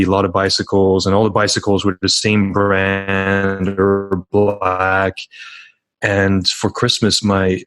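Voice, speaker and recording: A man's voice: low-pitched, fairly bright tone, no room sound. A middle-aged man talking earnestly as a podcast guest, telling stories with frequent restarts. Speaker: podcast guest, startup founder. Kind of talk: casual podcast interview.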